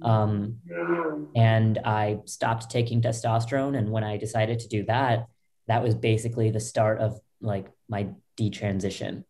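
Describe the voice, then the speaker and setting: A young woman with a low voice, a podcast guest telling her own story in loose talk full of fillers and restarts, over a video call from a small bedroom. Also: level tone, unhurried, mellow. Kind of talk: candid personal story. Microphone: laptop webcam, video call.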